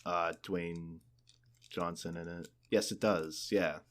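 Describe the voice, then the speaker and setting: A man with a very low voice chats casually as a podcast co-host. He sounds laid-back and keeps his replies short and conversational. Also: steady tone, takes it slowly. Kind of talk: casual chat.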